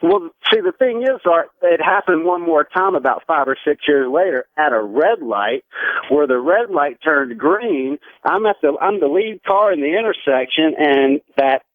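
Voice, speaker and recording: A man's voice: fairly high pitch, animated, normal speed. An American man in his forties calling a radio show, earnestly recounting a personal story in a conversational way. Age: 40s. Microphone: telephone. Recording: tinny narrow phone line on radio.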